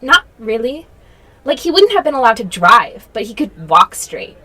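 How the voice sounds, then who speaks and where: A woman plays a young female witness in a student radio drama, explaining things to police. Her voice is fairly high and very bright. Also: very animated, normal speed, full character voice. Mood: anxious, explaining. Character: young female witness, friend of a missing man.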